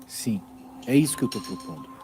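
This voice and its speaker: A man's voice: on the low side, very animated, a little fast, dark tone, slight background noise. An actor playing an earnest, devoted, optimistic man talking to his partner in a naturalistic audio drama. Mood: eager, nervous.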